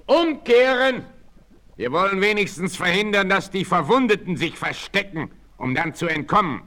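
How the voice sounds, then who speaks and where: An actor playing a bold frontier hunter and leader, declamatory and decisive, in a 1960s audio drama. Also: male voice, high voice, expressive, normal speed, pushed and bright. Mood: urgent shouting.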